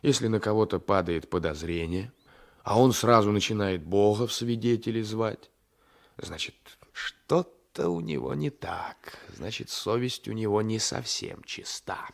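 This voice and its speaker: Male for a radio-drama gendarme: low, creaky, sly, domineering, talking down insinuatingly. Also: a little slow. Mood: soothing, insinuating. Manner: insinuating, patronising, repeats phrases. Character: domineering, sly gendarme coaxing a weaker man. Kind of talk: Soviet-era 1980s radio play acting.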